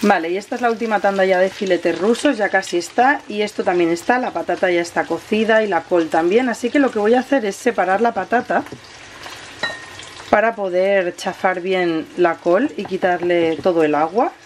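A woman's voice: mid-range voice, normal speed. A woman with an accent from Spain gives a casual, chatty cooking tutorial, explaining each step informally as host. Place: home kitchen, indoors. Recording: kitchen noise, frying and stirring sounds.

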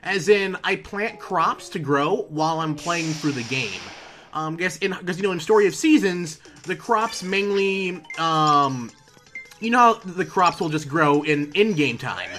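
A man giving casual, rambling gameplay commentary as a let's player, halting and repeating words as he goes. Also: a little high, animated, sharp tone. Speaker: let's player hosting a video game series. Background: video game music.

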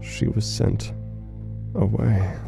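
Casting: a grave, cracking emergency broadcaster in horror audio drama; deep, very slow, formal yet unstable. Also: male voice, level tone, gravelly, music in the background, heavily acted. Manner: halting, formal, theatrical, unstable. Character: unhinged male broadcaster, grave, formal, fragile, devoted to his council.

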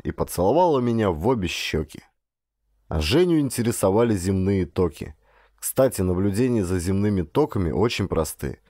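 A man reading a scripted first-person story as an audiobook narrator, with an actor's expressive delivery. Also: lowish voice, no echo, studio quality.

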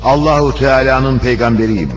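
A man's voice: medium pitch, normal speed. A man narrating a religious cartoon in a solemn, declamatory way, reciting quoted speeches. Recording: muffled audio under prominent music.

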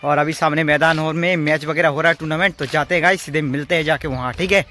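A man's voice: on the high side, steady tone, quick pace, pushed and bright. A young man chatting casually to viewers in a vlog, addressing them informally as brothers. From rural India. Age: young adult. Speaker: vlogger. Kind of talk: casual vlog chat to camera. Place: outdoors in village fields and tented cricket ground. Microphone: phone at arm's length. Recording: noisy outdoor phone recording.

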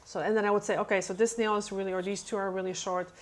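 A woman's voice: mid-range voice, level tone, quick pace. A woman in her thirties, a nail technician whose first language is not English, talking casually into a webcam and acting out chats with clients, with many fillers. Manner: chatty, acts out client dialogue, many fillers. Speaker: nail technician answering questions. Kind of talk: casual explanatory talk. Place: indoor room, webcam over screen.

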